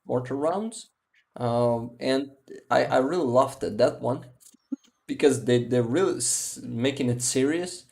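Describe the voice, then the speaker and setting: Ukrainian man hosting, halting English. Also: medium pitch, gravelly, darkish tone. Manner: halting, searching for English words. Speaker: live stream host. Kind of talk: casual livestream commentary and chat.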